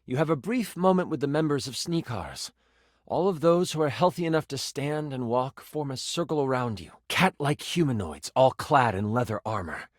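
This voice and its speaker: A man narrating a tabletop fantasy game as its game master, with expressive, theatrical storytelling. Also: medium pitch, normal speed, no echo, studio quality. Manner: expressive, theatrical delivery with playful asides.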